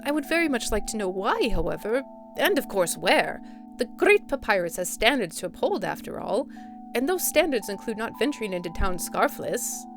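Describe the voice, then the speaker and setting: A woman's voice: medium pitch, very animated, moderate pace, over music, pitched above the performer's own voice. A woman voicing a bold, theatrical younger skeleton brother who talks in a grandiose, formal, coaxing way, in an audio drama. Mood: reassuring, proud.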